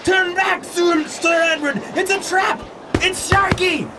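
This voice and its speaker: An actor playing a stubborn, defiant captive sea captain in a period radio drama, with dramatic, old-fashioned delivery. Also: male voice, extremely high pitch, steady tone, slow pace, raised voice, crowd noise, background noise. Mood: desperate shouting.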